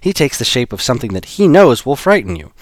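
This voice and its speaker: A man voicing an exhausted captive young woman with a hoarse voice. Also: mid-range voice, very animated, fast, dry recording, studio quality, pitched above the performer's own voice. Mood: urgent.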